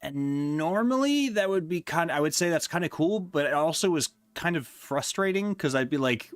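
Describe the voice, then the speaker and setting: A man chatting casually on a live stream, rambling and hesitant with many fillers, his voice going hoarse. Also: on the high side, expressive, moderate pace, no echo, clean studio sound. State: losing his voice. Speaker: streamer ranking games he reviewed.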